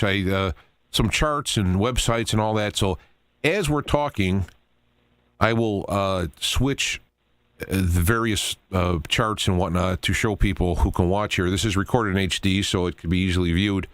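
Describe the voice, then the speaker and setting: A man's voice: low voice, moderate pace, no echo. A man hosting a talk radio show in a casual, conversational style.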